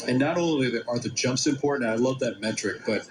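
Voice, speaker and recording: A man's voice: medium pitch, a little fast, crowd noise, poor audio. A man calling a baseball game as a live play-by-play announcer. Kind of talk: live sports commentary.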